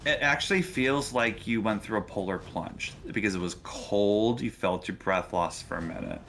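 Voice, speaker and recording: A man's voice: fairly low pitch, normal speed, a bit bright. A man narrating a tabletop role-playing game as game master.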